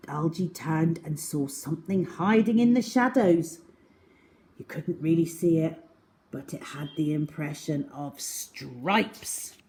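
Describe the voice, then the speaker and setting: A woman reads a children's story aloud at a slow, deliberate pace. Her voice sits a little low for a woman and moves up and down a great deal as she narrates. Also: creaky, warm tone, a little hiss or hum.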